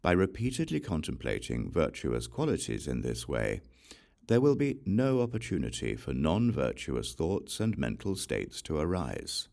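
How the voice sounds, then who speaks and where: A man with a remarkably deep, gravelly voice reads scripture aloud for an audiobook. His delivery is measured and solemn. His pitch still moves expressively through each phrase. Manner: measured, solemn reading with lively rises and falls. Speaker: audiobook reader.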